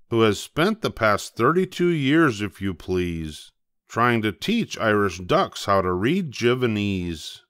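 An older man reading a rhyming children's storybook aloud, expressively, with playful emphasis and drawn-out words. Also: lowish voice, a bit bright, dry recording, studio quality. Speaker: storybook reader.

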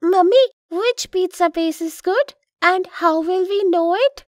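A woman's voice: very high-pitched, unhurried, a little mellow, dry recording, clean studio sound. A woman voicing an eager, curious young child in a children's cartoon, with an inquisitive manner.

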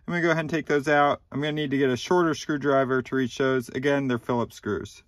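A man in his thirties calmly walking through a car repair step by step, with a few ums, recorded inside a car. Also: mid-range voice, fast talker, dry recording. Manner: plain, methodical instructions with frequent ums. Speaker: DIY repair channel host. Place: inside a car, small enclosed cabin.

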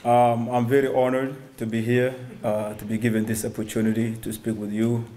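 A man's voice: mid-range voice, level tone, normal speed, warm tone, slight background noise. A young man from Somalia giving a personal testimony as a guest speaker at a stand mic indoors, hesitant, with many ums and restarts. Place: synagogue hall, indoors.

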